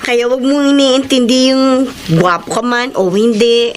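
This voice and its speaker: A woman's voice: on the high side, measured pace, a little hiss or hum, natural voice. An actress playing a caring young daughter who is shyly in love, girlish and affectionate, in a Filipino radio drama. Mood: cheerful. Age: young adult.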